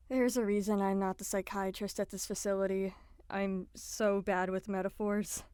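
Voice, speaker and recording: A woman acting as a patient, gently teasing facility staffer in an audio drama, earnest and reassuring. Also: mid-range voice, flat delivery, unhurried, clear, resonant tone, dry recording, studio quality, lightly acted. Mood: playful, explaining.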